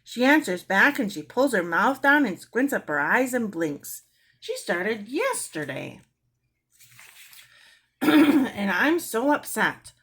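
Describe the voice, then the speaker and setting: A woman's voice: fairly high pitch, sing-song, takes it slowly, fairly bright tone, breathy edge, voice pushed up, heavily acted. A woman voicing a fretful, exasperated mother in a storybook, with an emphatic, complaining manner. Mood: worried, upset.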